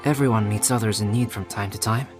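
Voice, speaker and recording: Man voicing a soft-spoken young alchemist. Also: fairly low pitch, natural voice. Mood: explaining. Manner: soft-spoken, measured, explanatory. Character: calm young male alchemist, gentle and scholarly. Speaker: game character. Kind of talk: video game voice acting. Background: game music.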